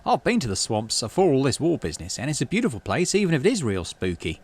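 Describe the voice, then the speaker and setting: A folksy woman expedition leader from a sci-fi game, acted out by a man. The delivery is caring and plain-spoken, with a very animated rise and fall. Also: medium pitch, quick pace, breathy edge, pitched above the performer's own voice, heavily acted. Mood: uneasy warning.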